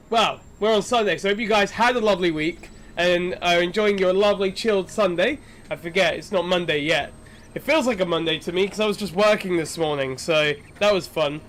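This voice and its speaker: A man's voice: high voice, fairly bright tone, smooth. A young man live-streaming a game from home, chatting casually and rambling while congested from a sinus infection. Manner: chatty, rambling, friendly with chat. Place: home room.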